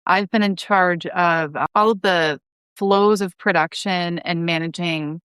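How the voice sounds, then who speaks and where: A woman's voice: on the low side, steady tone, a little slow, a bit bright, clear, resonant tone, no room sound. A woman speaking as a podcast guest, explaining things in a conversational way. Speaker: podcast guest, head writer and producer.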